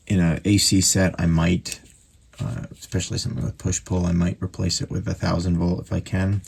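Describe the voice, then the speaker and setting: A man's voice: sits low, level tone, a little fast, vocal fry, a little mellow, slightly rough. A man casually narrating a radio repair at his workbench, thinking aloud with fillers as he works.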